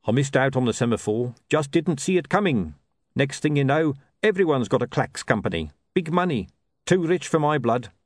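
A man's voice: medium pitch, lively intonation, normal speed, dry recording, clean studio sound, voice pushed up, natural voice. A man voicing a gloomy, down-on-his-luck sausage hawker in an audiobook, with colloquial, lamenting salesman's patter. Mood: despondent. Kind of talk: audiobook character voice.

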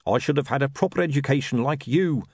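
A man's voice: mid-range voice, quick pace, darkish tone, husky, no room sound, studio quality, voice pushed up. A man voicing a gloomy, down-on-his-luck sausage hawker in an audiobook, with colloquial, lamenting salesman's patter. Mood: despondent. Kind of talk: audiobook character voice.

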